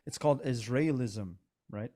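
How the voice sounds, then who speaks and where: A man's voice: mid-range voice, moderate pace, warm tone. A man with a Persian accent, co-hosting a podcast in casual, earnest talk full of restarts and asides. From Iran. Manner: earnest, rambling, many restarts. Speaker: podcast co-host. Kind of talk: casual political chat.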